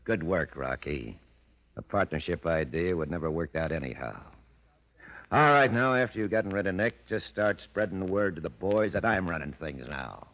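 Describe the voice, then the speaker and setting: An actor in 1940s radio style playing a calculating, ambitious gangster boss, cool, boastful and menacing. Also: male voice, low-pitched, lively intonation, normal speed, a little hiss or hum. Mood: brisk authority. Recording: muffled old radio drama recording.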